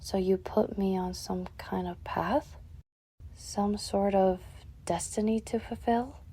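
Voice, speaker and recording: A woman voicing a moody, lost young female fox creature in an animated comic dub, with a hesitant, emotional, slightly whiny delivery. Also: mid-range voice, slow, husky. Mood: downcast, lost.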